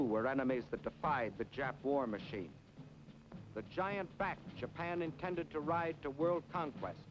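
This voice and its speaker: An American man narrating a wartime propaganda film in a grave, emphatic, declamatory 1940s newsreel style. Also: mid-range voice. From United States. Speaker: documentary narrator. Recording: dull 1940s film soundtrack. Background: orchestral music.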